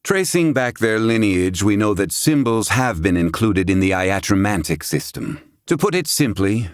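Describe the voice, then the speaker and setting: A bookish, proud scholar-healer from a fantasy video game, acted by a man. His voice sits fairly low but swings widely in pitch, captured dry and close. He speaks with pompous, old-fashioned formality and a curt, exacting manner. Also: dry recording, close to an everyday voice. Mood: lecturing. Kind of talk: video game character dialogue.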